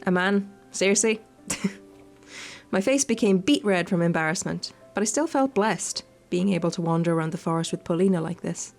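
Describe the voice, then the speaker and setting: A woman's voice: fairly low pitch, normal speed, creaky, a little mellow. A woman doing a visual novel playthrough, reading the text aloud expressively and adding playful asides. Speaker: gaming video creator. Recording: music behind the voice. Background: game music.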